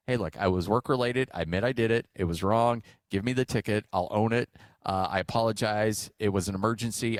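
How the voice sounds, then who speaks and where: Animated American sports radio man, swooping pitch. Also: dry recording, clean studio sound. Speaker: sports radio host and play-by-play announcer. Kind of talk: casual sports talk banter.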